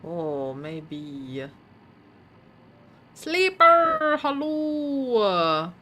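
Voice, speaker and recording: A woman's voice: medium pitch, big pitch swings, drawn-out words, bright, forceful tone, a little hiss or hum. A woman streamer casually commenting on her game, muttering to herself while solving puzzles and chatting with viewers.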